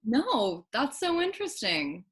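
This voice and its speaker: A woman's voice: on the high side, wildly swooping pitch, measured pace. Woman playing an earnest, easily impressed viewer. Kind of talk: scripted radio play dialogue.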